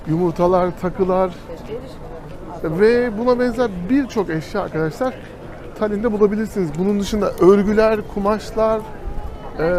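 A man with a fairly high voice chats casually as he walks a city, turning to the camera often to speak to his viewers as friends. Traffic and street noise sit clearly behind him. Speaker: travel vlogger. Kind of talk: casual travel vlog commentary. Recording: noisy outdoor street recording. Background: street noise and passing vehicles.

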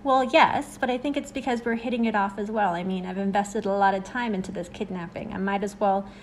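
A woman's voice: mid-range voice, steady tone, fairly quick, natural voice. A woman playing a playful, teasing young extrovert. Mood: reassuring. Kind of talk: acted short-story dialogue. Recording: clear background noise and ambience.

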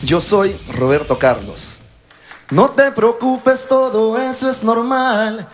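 A man's voice: high-pitched, lively intonation, slow. A man in his thirties talks casually as a contestant on a live talent show. He holds a microphone close on a studio stage, with faint music around him. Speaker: singing impersonator contestant. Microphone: handheld microphone.

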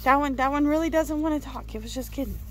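A woman's voice: high-pitched, fast. A woman chatting casually with a child and cooing baby talk to animals. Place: dairy farm, among calves and cows. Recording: noisy farm ambience.

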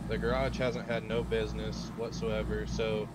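A man's voice: flat delivery, normal speed. A man giving a hesitant, rambling briefing as an undercover informant in an improvised police roleplay game. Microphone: online game voice chat. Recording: very noisy game voice chat, engine-like rumble.

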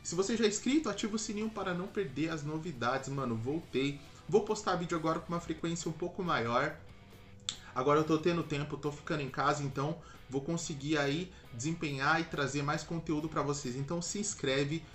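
Young man, Brazilian accent, fairly high pitch, informal slangy commentary, conversational. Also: fairly quick, soft background music. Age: young adult. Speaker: music reaction video host. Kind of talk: casual video commentary.

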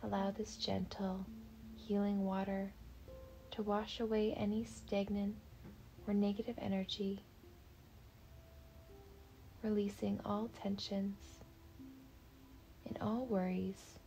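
A woman leading a guided meditation in a soft, breathy, half-whispered voice, gentle, soothing and unhurried. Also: mid-range voice, level tone, faint music behind, poor audio.

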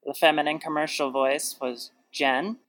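A man's voice: fairly high pitch, fairly even intonation, moderate pace, a bit bright, poor audio. A man reading out cast credits in a scripted announcing style.

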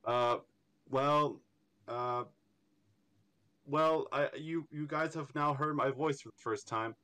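A man's voice: mid-range voice, takes it slowly, pushed and bright, clear, resonant tone. A young man from Ireland with a slightly American-tinged Irish accent, chatting casually as an interview guest, rambling and stammering with frequent laughs. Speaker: artist guest.

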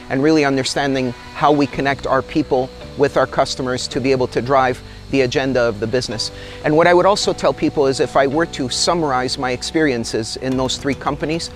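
A middle-aged man, an executive from Canada, talks steadily and thoughtfully, weighing his words as he gestures. His voice sits at an ordinary pitch, recorded close on a lapel mic outdoors, with soft music faintly underneath. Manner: measured, articulate, gestures while talking. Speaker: company executive. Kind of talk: corporate interview. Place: outdoor tropical garden.

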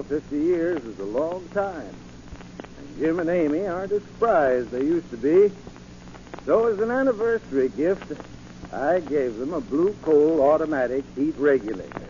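A folksy spokesman delivers a vintage radio commercial. His voice is mellow and a little high for a man, measured in delivery, over a muffled recording. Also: a little hiss or hum. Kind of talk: 1940s scripted radio commercial.

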